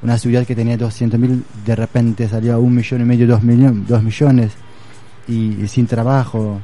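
A man's voice: mid-range voice, little pitch movement, moderate pace, warm tone. A man speaking in a casual conversation, hesitant and searching for words, with many fillers. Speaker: guest.

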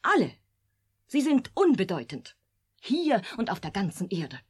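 Very breathy, solemn artificial young woman. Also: mid-range voice, wildly swooping pitch, unhurried, darkish tone, full character voice. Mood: coldly logical. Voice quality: breathy, often near whisper. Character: young artificial woman, coldly logical yet anguished. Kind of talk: German radio drama acting.

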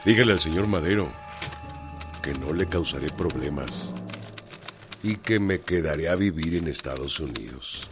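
An actor with a Mexican accent playing a proud, blunt revolutionary in a radio drama, speaking emphatically. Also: male voice, very deep voice, expressive, normal speed, long pauses, music in the background. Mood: earnest, urgent.

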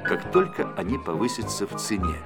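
A man narrating a children's story in an expressive, scripted audiobook style. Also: fairly low pitch, brisk, loud music behind the voice.

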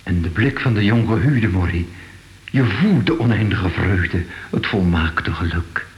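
An actor playing a sober, thoughtful art investigator in a radio drama, speaking in a measured, pondering way. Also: male voice, low voice. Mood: hushed wonder. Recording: echoey, faintly noisy old radio play.

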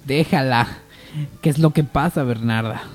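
A man's voice: mid-range voice, measured pace, soft background music, lightly acted. A man playing a grieving, anxious young heir in an amateur radio soap opera. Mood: weary, resolute.

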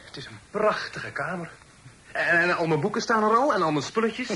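A man's voice: sits high, lively intonation, fairly quick, bright, forceful tone. An actor playing a dutiful young son back from his travels in a Dutch radio play, polite and a little hesitant. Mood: grateful, earnest. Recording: noisy old radio drama recording.

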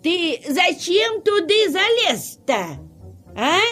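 A woman's voice: extremely high pitch, very animated, slow, a bit bright, faint music behind, exaggerated voice. An actress playing a sly, fussy old witch with rustic, exclaiming speech in a children's audio play. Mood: astonished, indignant. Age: older.

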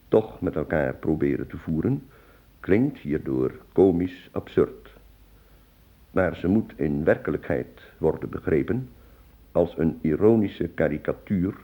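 A man, a radio announcer, reading a scripted introduction in a measured, formal way. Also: low-pitched, mellow.

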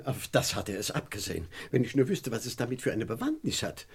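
A bewildered gentleman professor in an old radio crime play. His mid-range voice is husky and animated. He is curt and exasperated. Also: medium pitch, fast, heavily acted. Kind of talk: mid-century German crime radio comedy acting.

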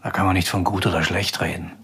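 A wry, world-weary Viennese detective in a radio crime drama. He speaks in a deep voice with few words and a dry, melancholy delivery. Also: level tone, fast, creaky, a bit bright, husky, full character voice. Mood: wistful, brooding. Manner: laconic, dry.

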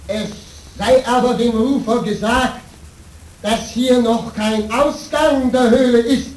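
An aged hermit monk in a radio drama, solemn and ceremonious. His delivery is slow and high for a man, every phrase formally measured. The sound is roomy and set back from the microphone. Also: darkish tone. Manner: ceremonious, speaks of himself in third person. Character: solemn old hermit monk, former samurai. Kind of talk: German radio play acting. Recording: roomy, distant old radio drama with faint music.

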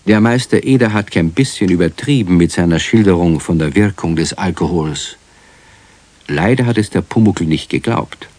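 An actor as the friendly narrator of a children's radio play, telling the tale in a measured, expressive way. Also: male voice, low voice, no echo. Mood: knowing, friendly. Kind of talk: scripted children's story narration.

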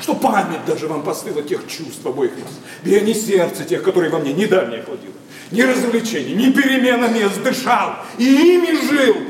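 Impassioned high-voiced young nobleman, echoing. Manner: impassioned, declamatory, sarcastic. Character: heartbroken, bitterly indignant young nobleman denouncing society. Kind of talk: classical verse stage acting. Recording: echoey hall, distant, faint noise.

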